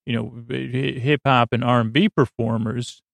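A man hosting a sleep podcast, rambling in a soothing, creaky drawl that wanders off topic and interrupts itself with wry asides. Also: medium pitch, flat delivery, a little slow, dry recording. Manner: meandering, self-interrupting, wry. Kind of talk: rambling late-night monologue.

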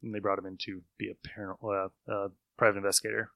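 A man's voice: lowish voice, little pitch movement, quick pace, gravelly, dry recording, lo-fi sound. A man co-hosting a podcast, talking casually about old radio shows. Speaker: podcast co-host.

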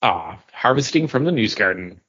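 A man co-hosting a casual podcast, speaking in a halting, hesitant way as he works out his sentences. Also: on the low side, big pitch swings, fairly bright tone, slightly rough. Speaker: podcast co-host. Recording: slightly muffled, lo-fi audio.

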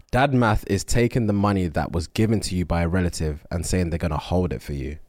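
A man's voice: deep voice, fairly quick, a little mellow, no echo, clean studio sound. A man co-hosting a podcast who chats casually, laughs a lot and keeps saying "facts". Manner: laughs often, reads lists out in bursts, interjects "facts". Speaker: podcast co-host. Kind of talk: casual comedic chat.

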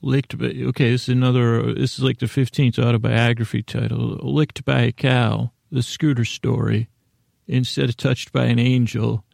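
A man hosting a sleep podcast, delivering a meandering, halting bedtime monologue full of self-interruptions and deadpan, gently silly tangents. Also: mid-range voice, vocal fry, no room sound, clean studio sound. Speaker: podcast host.

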